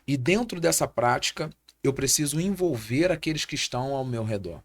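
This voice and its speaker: A man in his thirties with a Brazilian Portuguese accent speaks at a medium pitch. He is a pastor in a podcast interview and explains like a teacher, with a preaching turn. He restates key points to drive them home. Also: animated, normal speed. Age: 30s. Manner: didactic, repeats key points for emphasis.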